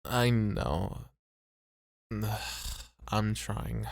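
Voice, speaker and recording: A man's voice: lowish voice, drawn-out words, gravelly, no echo, studio quality, heavily acted. A man playing a weary, self-doubting club host in an ASMR roleplay, with a hesitant, understated delivery. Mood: worried, resigned.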